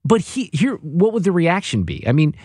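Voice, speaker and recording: Male radio host, animated teasing storyteller, very expressive pitch, dry booth mic. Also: moderate pace, slightly rough, dry recording. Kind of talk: casual on-air chat.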